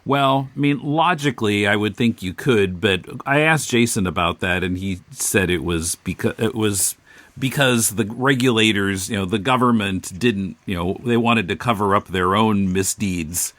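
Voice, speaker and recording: Male podcast co-host, lowish register, measured and hesitant conversational delivery, dry studio-close sound. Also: fairly bright tone, no room sound. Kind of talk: casual discussion of a graphic novel.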